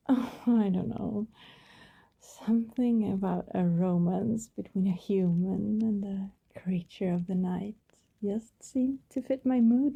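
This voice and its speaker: Breathy, creaky female vampire neighbor acted by a woman, coy and coaxing, unhurried ASMR roleplay. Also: mid-range voice, exaggerated voice. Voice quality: breathy, often dropping to a whisper. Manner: gentle, hesitant, coaxing. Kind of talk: intimate ASMR roleplay, soft and conversational.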